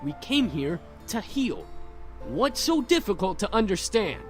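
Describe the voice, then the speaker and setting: An actor playing a brash, hot-headed young incubus in a visual novel, blunt and impatient. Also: male voice, mid-range voice, wildly swooping pitch, unhurried, faint music behind. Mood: impatient, cocky.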